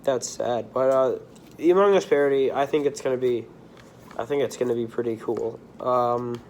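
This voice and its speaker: A teenage boy chatting on his own channel, slightly hoarse and sniffly from a cold. He rambles loosely from one thing to the next, restarting his sentences often. His pitch sits in an ordinary middle range, with a faint hum of room noise behind him. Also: normal speed, darkish tone. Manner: rambling, drifting between topics, frequent restarts. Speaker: video creator. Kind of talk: casual rambling monologue.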